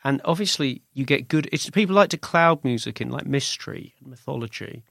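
A man's voice: mid-range voice, animated, a little fast, vocal fry, fairly bright tone, no room sound, clean studio sound. A British man talking casually as a musician guest in an interview, rambling and correcting himself as he goes. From Britain. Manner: rambling, self-correcting, informal. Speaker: musician guest, singer-songwriter.

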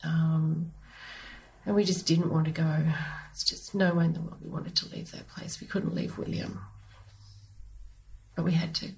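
Woman, Australian accent, lowish pitch, heavy vocal fry, emphatic, repetitive, personal interview. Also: little pitch movement, measured pace, long pauses, darkish tone. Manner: emphatic, repeating phrases. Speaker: interview guest, foster mother.

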